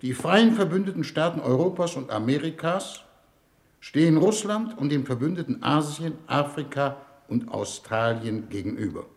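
A man playing a grave, authoritative foreign minister, speaking formally and with measured oratory in a 1950s radio drama. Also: on the high side, expressive. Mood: grave, solemn.

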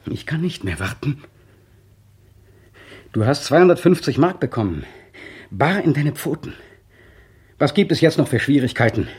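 A restless young man lost in his own thoughts in a vintage radio play. His husky, breathy voice wanders widely in pitch, muttering one moment and rising feverishly the next. The delivery is tense and inward, as if talking to himself. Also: darkish tone, exaggerated voice. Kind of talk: 1960 radio play inner monologue.

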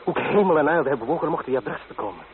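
A man's voice: a little high, big pitch swings, very fast. An actor in a radio drama playing an ardent, devoted young man in love, speaking romantically. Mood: relieved, tender. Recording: tinny, noisy old radio recording.

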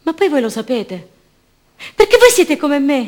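An actress playing a young, idealistic student activist held prisoner, delivering an intense, passionate theatrical monologue for radio drama. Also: female voice, high voice, sing-song, unhurried. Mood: fervent. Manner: intense, swinging from hushed repetition to fervent declaiming.

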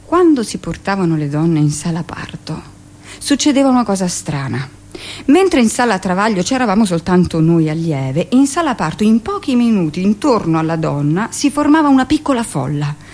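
Matter-of-fact midwife. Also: female voice, medium pitch, very animated, moderate pace, a little mellow. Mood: matter-of-fact recollection. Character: midwife recounting harsh hospital deliveries, matter-of-fact, hardened. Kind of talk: theatrical radio monologue, narrated recollection.

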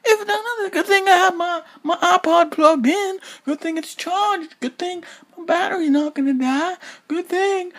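A man hosting a solo podcast, rambling in circles and repeating himself, swearing casually, after almost a full day without sleep. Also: very high voice. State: sleep-deprived, up almost 24 hours. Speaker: podcast host.

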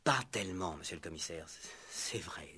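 An actor playing a timid, deferential police inspector in a 1960s radio play, hesitant, stammering and apologetic. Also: male voice, deep voice, animated, moderate pace, sharp tone, slightly rough, heavily acted. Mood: embarrassed.

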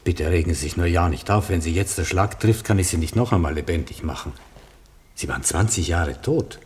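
A man acting a calm, wry rabbi who works miracles in a German radio play, courteous and instructing. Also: low-pitched, brisk, slight background noise, natural voice. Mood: animated, reassuring.

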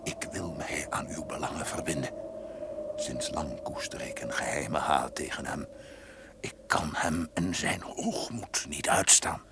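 An actor playing a scheming, vengeful count in a radio drama, speaking in a deliberate, insinuating way with a gravelly bass voice. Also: male voice. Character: scheming, resentful count bent on revenge. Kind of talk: Dutch radio drama acting. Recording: noisy old radio play recording.

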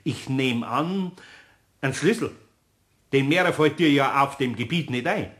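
A man's voice: medium pitch, a little slow, fairly bright tone, lightly acted. A man playing an older, good-natured master carpenter in a German children's radio play, speaking with a Bavarian accent and teasing in a dry, unruffled way.

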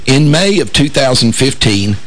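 A man hosting a radio talk show, hoarse and raspy from shouting, joking wryly and stumbling into fillers and restarts. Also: medium pitch, big pitch swings, normal speed. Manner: wry, joking asides, frequent fillers and restarts. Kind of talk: casual talk radio banter.

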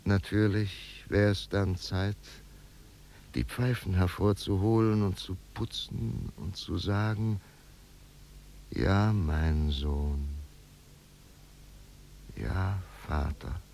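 Slow, gravelly, wistful older man. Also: sits low, darkish tone, heavily acted. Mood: musing. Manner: halting, poetic, dropping to whispers. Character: wistful, brooding older man longing for a son. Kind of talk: 1950s German radio drama acting. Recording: noisy old radio recording.

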